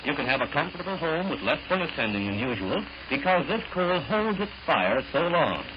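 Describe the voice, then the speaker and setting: A man's voice: a little high, very animated, brisk. A man working as a 1940s radio announcer, formally explaining a scripted sponsor message to his audience. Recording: dull, noisy 1940s radio recording.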